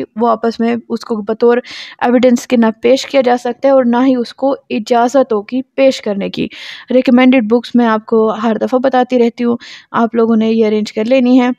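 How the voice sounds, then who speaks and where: A woman law lecturer, reading legal passages slowly and then explaining them to students. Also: fairly high pitch, warm tone, no echo, lo-fi sound. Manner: reads English passages slowly, then explains in Urdu-style Hindi.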